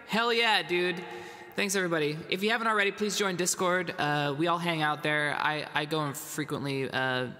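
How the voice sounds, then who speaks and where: A man's voice: a little high, moderate pace, bright, forceful tone. A man in his thirties with a head cold, chatting loosely with his stream chat from a dim home room. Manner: loose, rambling, talks straight to chat. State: stuffed up with a cold. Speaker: music livestreamer. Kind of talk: casual livestream chat. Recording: added reverb over guitar music.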